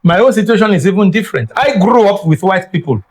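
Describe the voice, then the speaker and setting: An older Nigerian man with a Yoruba mother tongue holds forth as an interview guest, his voice sitting somewhat high for a man. He talks in an emphatic, teacherly way and repeats words to drive a point home. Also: animated, fast, slightly rough. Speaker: guest scholar. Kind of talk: reflective interview talk.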